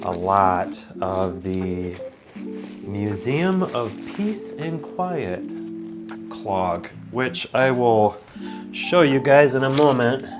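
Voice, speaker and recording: A man's voice: mid-range voice, very animated, very slow. Congested, groggy man chatting over guitar music. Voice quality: slightly congested. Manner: easygoing, digressive, self-interrupting. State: groggy from waking up, with sinus congestion. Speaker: product reviewer and video host. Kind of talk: casual, rambling unboxing and review chat.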